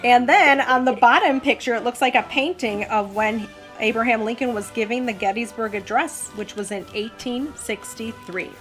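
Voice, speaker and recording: Woman, higher pitch, children's read-aloud host, expressive delivery, casual asides to kids, background music. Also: moderate pace, few pauses. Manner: expressive, addresses child listeners with questions. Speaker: host, mother reading to her child.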